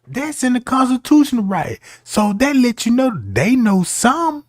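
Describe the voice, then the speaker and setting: A man's voice: extremely high pitch, wildly swooping pitch, unhurried, darkish tone, no room sound, clean studio sound. A man giving casual commentary as a streamer, talking in a slangy, argumentative way.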